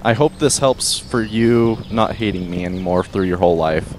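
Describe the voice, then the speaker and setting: A man playing a brash, gruff half-dog demon who is secretly contrite, in an amateur audio drama. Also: fairly low pitch, moderate pace, darkish tone, slight background noise, lightly acted. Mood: awkwardly apologetic.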